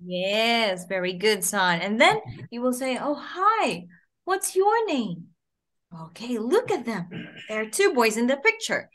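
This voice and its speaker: A woman in her twenties from the Philippines, teaching English to a child over a video call and modelling phrases slowly in a sing-song way. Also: on the high side, very animated, a bit bright. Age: 20s.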